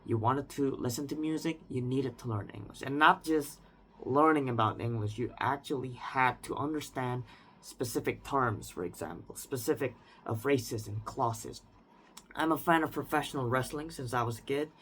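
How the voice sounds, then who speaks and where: A man's voice: mid-range voice, normal speed, a bit bright, slight background noise. A man in his twenties with an Indonesian accent, speaking unscripted in a reflective personal monologue, often restarting and hedging. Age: 20s. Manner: reflective, with frequent restarts and hedges like "I would say". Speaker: vlogger.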